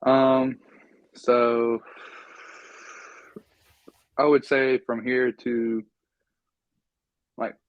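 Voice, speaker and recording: A man's voice: medium pitch, little pitch movement, drawn-out words, pauses often, dull audio. A young man from North Carolina, a guest chatting casually and rambling with many ums. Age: young adult. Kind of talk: casual interview chat.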